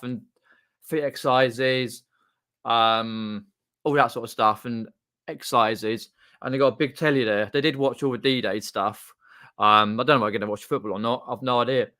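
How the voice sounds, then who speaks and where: A man chatting casually on a livestream in a rambling, halting way, often trailing off mid-sentence. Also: mid-range voice, bright, forceful tone, clear, resonant tone. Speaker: live streamer and video channel host. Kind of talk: casual unscripted livestream chat. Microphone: desktop condenser microphone.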